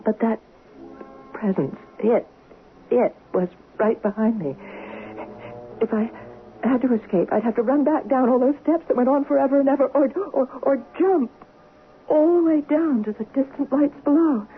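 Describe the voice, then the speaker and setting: Actress as a high-voiced, frightened wife in radio drama. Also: female voice, natural voice. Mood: fearful, tense. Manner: halting, breathless, dramatic delivery. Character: frightened wife recounting a nightmare, soft and fragile. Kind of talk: 1970s radio drama acting. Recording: muffled, noisy old radio drama recording.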